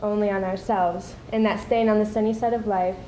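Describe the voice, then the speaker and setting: A woman's voice: medium pitch, fairly even intonation, brisk, dark tone. A teenage girl giving a formal, rehearsed introduction to her competition piece. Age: teens. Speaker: student speech performer. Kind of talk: prepared competition speech introduction. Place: echoey indoor room before an audience. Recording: echoey room, faint noise.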